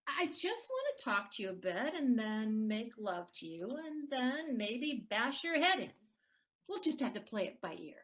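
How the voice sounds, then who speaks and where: A woman's voice: a little high, big pitch swings, a little slow, a bit bright. A woman playing a bitter, hard-drinking ex-wife in an audio play, taunting and sardonic. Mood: mocking.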